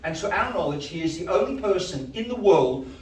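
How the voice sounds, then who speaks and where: A man with a loud voice leading a choir, telling a story to a live audience in a chatty way. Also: sits high, expressive, fairly quick. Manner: chatty, storytelling, asides to the crowd. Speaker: choir director. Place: large hall. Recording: echoey hall from a distance.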